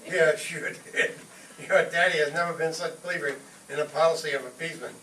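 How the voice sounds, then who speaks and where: A man as a high-voiced, blustering hot-headed father. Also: fairly even intonation, quick pace, pushed and bright. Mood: smug, plotting. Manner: pompous, indignant, theatrical. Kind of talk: old-time radio comedy acting. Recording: roomy distant stage recording, faint noise.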